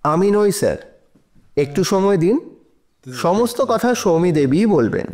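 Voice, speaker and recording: A persuasive defence lawyer in an audio drama. His voice sits high for a man and moves widely in pitch. He questions in a formal, deliberate and emphatic way. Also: sing-song, darkish tone, full character voice. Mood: firm, urging. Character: persistent, persuasive male defence lawyer in court. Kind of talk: courtroom audio drama dialogue.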